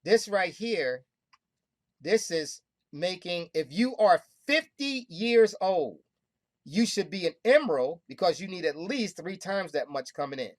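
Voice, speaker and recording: A woman hosting a group call, pitching hard with emphatic, repetitive, preaching talk. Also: lively intonation, a little slow, no echo, studio quality. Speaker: host of a money club call.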